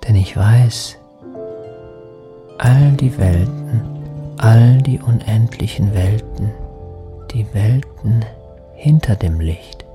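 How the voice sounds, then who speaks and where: An actor playing a dreamy lost soul in a poetic radio drama, speaking in halting, half-whispered phrases with long pauses. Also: male voice, fairly low pitch, mellow. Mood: trance-like, solemn. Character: lost soul, a dreamy male spirit seeking redemption. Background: music.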